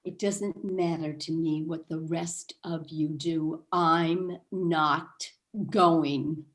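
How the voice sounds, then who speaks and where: A woman's voice: deep voice, fairly even intonation, very slow. A woman in a staged reading, playing a disapproving, opinionated 1960s housewife, emphatic and self-righteous. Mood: indignant. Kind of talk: staged reading of a satirical play. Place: video call.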